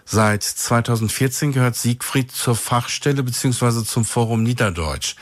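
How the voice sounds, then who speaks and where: A man hosting a radio show, narrating clearly and evenly from a script. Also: mid-range voice, moderate pace, dry recording, studio quality. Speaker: radio host.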